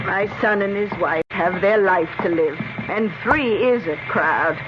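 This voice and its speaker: A woman plays a frail, lonely old lady in a vintage radio drama. She speaks haltingly at a medium pitch, fretful and apologetic, on a muffled, noisy old broadcast. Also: close to an everyday voice. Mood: wistful, resigned.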